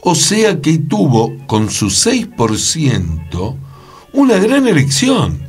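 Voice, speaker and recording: A man's voice: fairly high pitch, huge pitch swings, slow pace, breathy edge, soft background music. A man with an Argentine Rioplatense accent co-hosting a radio talk, telling theatre history in a chatty, conversational way with rhetorical questions. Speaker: radio co-host, actor.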